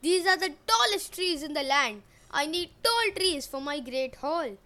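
Woman voicing a brash young prince, loud and gruff, high and boastful for a man. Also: expressive, a little slow, full character voice. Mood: arrogant, bellowing. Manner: gruff, bellowing.

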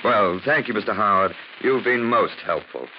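An actor playing a suave, witty amateur detective in polished, formal diction, in 1940s radio drama style. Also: male voice, on the low side, normal speed, lightly acted. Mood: probing. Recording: muffled, hissy old radio recording.

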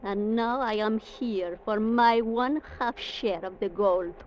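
A woman's voice: medium pitch, takes it slowly, close to an everyday voice. An actress playing a proud, armed young Latin American woman with a Spanish accent, defiant and commanding, in a 1940s radio drama. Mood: determined, threatening. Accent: Spanish-accented English, Latin American. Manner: defiant, commanding, clipped speech. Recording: muffled, noisy vintage radio recording.

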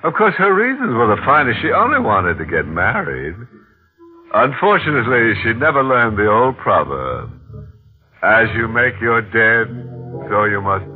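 An actor playing a sinister, wisecracking host of a 1940s radio mystery, sardonic and mock-genteel in delivery. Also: male voice, medium pitch, sing-song, moderate pace, natural voice. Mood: ghoulish amusement. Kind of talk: tongue-in-cheek 1940s radio horror hosting. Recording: old narrowband radio recording, faint music.

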